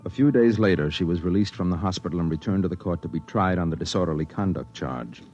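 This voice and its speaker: A man's voice: low-pitched, quick pace, a little mellow, highs cut off, close to an everyday voice. An actor playing a newspaper reporter who narrates his story in a 1950s radio drama. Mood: matter-of-fact. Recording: 1950s radio broadcast with music.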